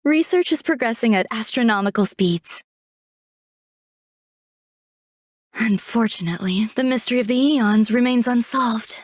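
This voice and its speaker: A woman voicing a refined, coolly detached genius scientist in a video game, speaking in a composed, measured, poetic way. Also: fairly high pitch, no room sound, studio quality, natural voice. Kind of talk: video game character voice lines.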